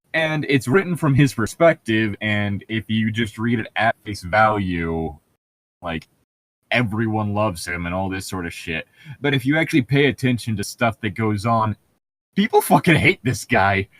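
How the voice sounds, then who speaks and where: Man, low voice, dry close sound, casual book commentary, rambling, sweary, podcast co-host. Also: moderate pace, fairly bright tone, no echo. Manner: sweary, rambling explanations peppered with "like".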